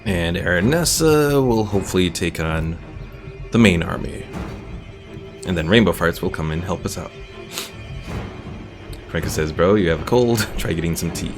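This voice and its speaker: A man gives casual, laid-back gameplay commentary, thinking aloud as he goes. His voice is low with plenty of fry, over faint game music. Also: lively intonation, moderate pace, long pauses. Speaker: game streamer.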